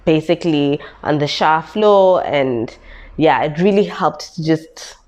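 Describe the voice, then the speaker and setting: A woman's voice: low voice, a little slow, darkish tone. Congested young woman from South Africa. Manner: repetitive, emphatic retelling. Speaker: vlogger. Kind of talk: casual vlog storytelling.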